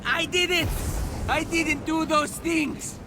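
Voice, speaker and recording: An actor playing a cocky young warrior, a boastful older brother, in a theatrical video game cutscene style. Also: male voice, very high voice, fairly even intonation, slow, noisy background. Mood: pleading, urgent. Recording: loud music behind the voice.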